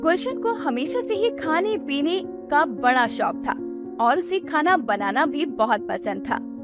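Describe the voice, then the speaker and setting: High-pitched woman narrating a story. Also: animated, unhurried, over music. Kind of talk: expressive scripted storytelling.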